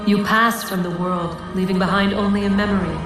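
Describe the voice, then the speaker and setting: An actress playing an imperious ancient goddess villain in a video game, declaiming formally and portentously through an echoing effect. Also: female voice, mid-range voice, steady tone, brisk. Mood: prophetic, grave. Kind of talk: dramatic video game cutscene acting. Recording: echoing, distant voice under loud music.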